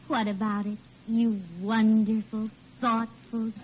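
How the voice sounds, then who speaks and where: An actress playing an adoring, sweet, gushing housewife in a vintage radio sitcom. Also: female voice, on the high side, little pitch movement, slow pace, darkish tone. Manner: gushing, affectionate. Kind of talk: 1940s radio sitcom acting. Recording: muffled, very noisy old radio recording.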